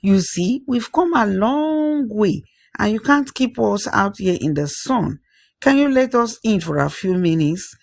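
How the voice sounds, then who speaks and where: Grown village woman in a folktale, acted by the narrator: affectionate, courteous, emphatic, creaky edge. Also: mid-range voice, lively intonation, a little slow, no room sound, voice pushed up. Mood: pleading. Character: warm, grown-up village woman reunited with childhood friends.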